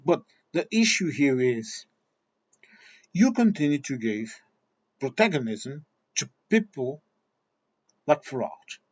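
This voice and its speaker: A man's voice: mid-range voice, big pitch swings, takes it slowly, long pauses, no echo, muffled recording. A man with a Portuguese accent, Portuguese his first language, delivering a blunt, combative monologue straight at his viewers. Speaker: video commentator. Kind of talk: ranting monologue.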